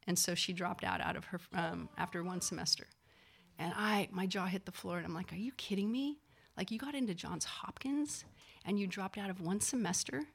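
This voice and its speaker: A woman, a physician assistant, telling a personal story in a casual, animated way, acting out the people she quotes, with lots of fillers. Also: mid-range voice.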